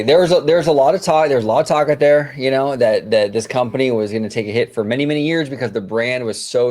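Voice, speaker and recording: A man's voice: medium pitch, a little mellow. Casual male podcast host, mid-range voice. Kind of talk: casual financial news discussion.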